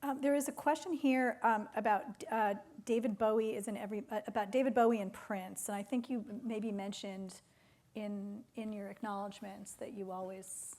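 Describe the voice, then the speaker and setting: A woman works as the interviewer in a live on-stage conversation. Her voice sits at an average pitch. She feels her way into each question with hesitant phrasing. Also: fairly even intonation, moderate pace, darkish tone. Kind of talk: moderating a live audience Q&A. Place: theater stage.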